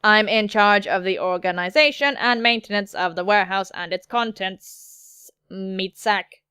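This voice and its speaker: A woman voicing a curt, sassy male android warehouse keeper in a steady, clipped, formal manner with blunt insults. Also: medium pitch, measured pace, clean tone, dry recording, studio quality.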